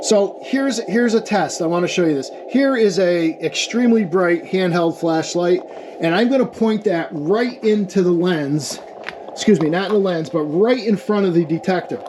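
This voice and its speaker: Male hobbyist demonstrator, slightly high voice, informal and explanatory, with clear background noise on the recording. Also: normal speed. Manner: explanatory, checks in with "okay" and "right". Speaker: amateur radio experimenter demonstrating equipment. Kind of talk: informal hands-on technical demonstration.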